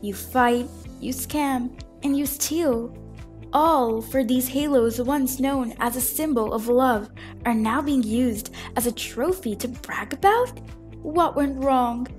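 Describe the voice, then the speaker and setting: Earnest high-voiced fountain goddess, acted by a woman. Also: slow, a little mellow, soft background music. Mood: anguished, reproachful. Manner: earnest, dramatic, pleading speechmaking. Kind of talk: amateur fan film voice acting.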